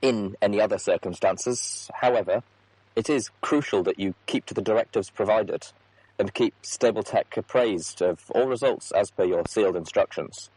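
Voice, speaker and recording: A man voicing an earnest, rattled female pony executive in an audiobook, reading an official message in a halting manner. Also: lowish voice, a little mellow, breathy edge, dry recording, voice pushed down, rough recording. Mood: formal, weary. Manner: halting, official script slipping into frustrated asides. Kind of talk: audiobook character monologue.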